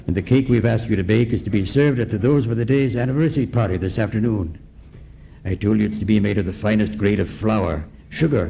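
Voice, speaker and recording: An actor playing a pompous, courteous, scheming villain in a radio noir parody, formal in speech. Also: male voice, fairly low pitch, creaky, warm tone. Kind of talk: comic old-time radio parody acting. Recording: faint noise on live recording.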